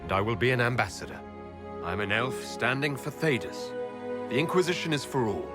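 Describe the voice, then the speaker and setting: An actor playing a measured, determined male elf hero in a video game, slightly husky. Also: mid-range voice, big pitch swings, music in the background, lightly acted. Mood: grave, determined. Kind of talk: video game cutscene acting.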